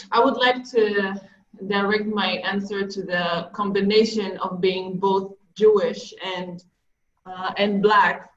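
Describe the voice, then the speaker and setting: Unhurried woman in her thirties, roomy call. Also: mid-range voice, a bit bright, lo-fi sound. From Israel. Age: 30s. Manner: measured, hesitant with many ums. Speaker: activist panelist. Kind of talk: panel discussion remarks.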